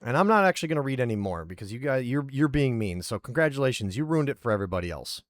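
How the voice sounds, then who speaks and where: A man's voice: medium pitch, very animated, very fast, no room sound, clean studio sound. A man streaming casually who rambles and pokes fun at himself while reading roleplay aloud. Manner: rambling and self-deprecating.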